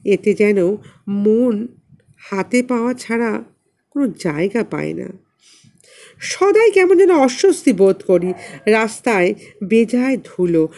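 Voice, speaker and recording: Slow, expressive woman storyteller. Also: medium pitch. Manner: dramatic, acts out dialogue. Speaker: audiobook narrator. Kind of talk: expressive literary story narration in a boy's first person.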